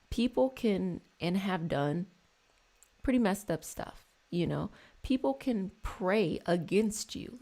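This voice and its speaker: A woman's voice: on the low side, darkish tone. An American woman chatting casually on a livestream, explaining and reflecting in an affectionate way with her listeners. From United States, DMV area around Washington DC. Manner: affectionate, addresses listeners as y'all and babies. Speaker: live stream host and spiritual healing practitioner.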